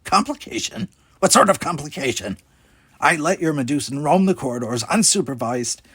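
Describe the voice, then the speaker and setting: A man's voice: a little high, big pitch swings, moderate pace, fairly bright tone, husky, dry recording, voice pushed up, full character voice. A man voicing a menacing, curt female alien pirate boss in a sci-fi storybook, snapping out commands. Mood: impatient, angry.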